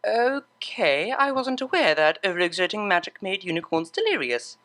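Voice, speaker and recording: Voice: medium pitch, big pitch swings, moderate pace, a bit bright, pitched above the performer's own voice. A performer voicing a refined young female pony cellist from a cartoon fan story, prim, exasperated and teasing. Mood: muttering exasperation.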